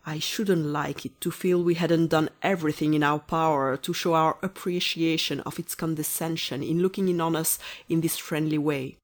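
A woman voicing a polite, fussy, easily astonished office gentleman in a storybook reading. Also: low voice, steady tone, normal speed, hardly any pauses, close to an everyday voice. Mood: politely eager. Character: nice, flustered, courteous gentleman office official.

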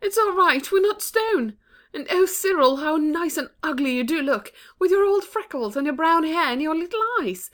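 A woman's voice: sits high, big pitch swings, moderate pace, few pauses, voice pushed up. A woman voicing a kind, earnest young girl in a storybook reading.

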